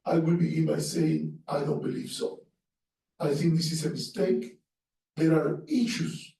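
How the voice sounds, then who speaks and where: A man's voice: fairly high pitch, dark tone. A man from Cuba with a Cuban Spanish accent, speaking earnestly at an academic seminar, often restarting his phrases. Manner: earnest, halting with restarts and fillers. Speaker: panelist. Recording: echoey room, mic far off.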